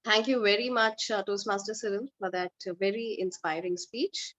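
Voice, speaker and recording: A woman running a speaking segment at an online club meeting, in a measured way with many filler uhs. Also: mid-range voice, fairly even intonation, fairly bright tone. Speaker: table topics master. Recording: dull lo-fi online call audio.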